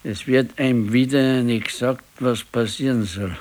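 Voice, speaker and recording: Radio play: ailing old Bavarian man acted by a male performer, brooding and resigned, plain halting speech, medium pitch. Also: darkish tone, lightly acted. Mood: brooding, regretful. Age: older. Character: ailing, weary, brooding old retired husband. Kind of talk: naturalistic 1970s radio drama acting.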